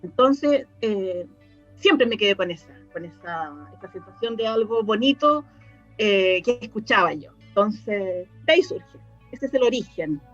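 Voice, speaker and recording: A woman's voice: mid-range voice, animated, unhurried, highs cut off, faint music behind. A woman with a Chilean accent chatting casually as an interview guest, searching for her words as she goes. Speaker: interview guest, radio drama writer and director. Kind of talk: casual reminiscing conversation.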